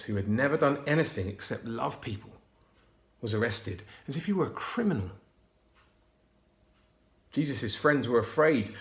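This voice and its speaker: A man reading a Bible storybook aloud to children, expressive and often hushed. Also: medium pitch. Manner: hushed, expressive, dropping to whispers. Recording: roomy echo.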